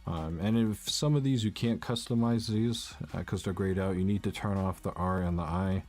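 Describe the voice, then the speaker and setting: A young man with a naturally low voice, casually walking viewers through a tech setup step by step, often saying "uh" and "basically". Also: moderate pace, a little mellow, soft background music. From Canada. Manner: casual, rambling, frequent "uh" and "basically". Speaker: tech tutorial youtuber. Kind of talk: casual screen-recorded tutorial. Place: home room with a bar fridge.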